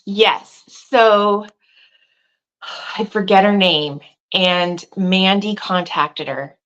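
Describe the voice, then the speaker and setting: A woman in casual conversation, thinking aloud with restarts and asides, who says she has a loud voice. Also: mid-range voice, drawn-out words, muffled recording. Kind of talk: casual chat.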